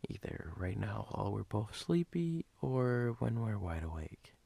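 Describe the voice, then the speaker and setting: A man playing a sleepy, teasing, doting boyfriend in an ASMR role-play, husky and breathy, often dropping to a whisper in an intimate, halting way. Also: on the low side, big pitch swings, mellow, no echo, exaggerated voice.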